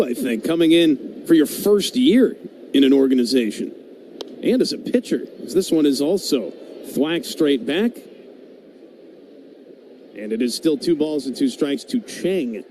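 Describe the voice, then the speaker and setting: A man working as a baseball play-by-play announcer on live radio, mixing calls with casual banter over stadium ambience. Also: medium pitch. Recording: steady ballpark background noise.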